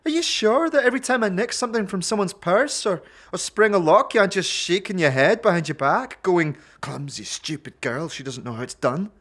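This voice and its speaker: A woman playing a prickly young tiefling thief, swooping pitch. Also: lively intonation. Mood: indignant, sarcastic. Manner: sharp-tongued, sarcastic, defensive, rapid questioning. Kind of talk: audio drama game-dialogue acting.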